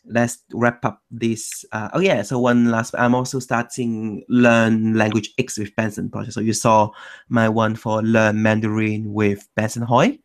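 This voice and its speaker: A low-pitched man hosts an online language meetup, and his voice carries a gravelly fry. He chats loosely, often breaking off and restarting his sentences. It sounds like a computer mic on a call. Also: level tone, unhurried. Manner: loose, self-correcting, many restarts. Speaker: meetup host. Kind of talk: casual chat.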